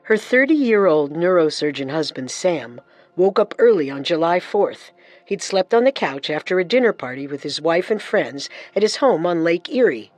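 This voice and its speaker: A woman co-hosting a podcast, giving scripted true-crime narration with deliberate, dramatic storytelling. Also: on the low side, lively intonation, a little mellow, no echo.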